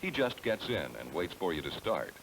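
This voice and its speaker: Deep-voiced American instructional film narrator, a man. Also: very animated. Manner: measured, explanatory, addressing the listener directly. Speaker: narrator of a car sales training film. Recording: noisy 1970s training film soundtrack.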